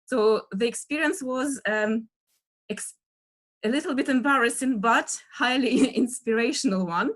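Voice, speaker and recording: A woman's voice: on the high side, unhurried. Russian-accented woman lecturing, full of fillers. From Russia (Western Siberia). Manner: many fillers, soft laughs, searching for words. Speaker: dance historian giving an online lecture. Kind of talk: hesitant online lecture. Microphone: video call.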